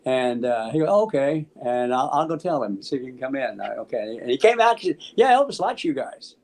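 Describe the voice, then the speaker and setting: An American man in his seventies casually telling anecdotes in an animated, halting way, acting out the dialogue. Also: medium pitch. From Memphis, United States. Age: 70s. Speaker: interview guest, musician. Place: small room at home, on a video call.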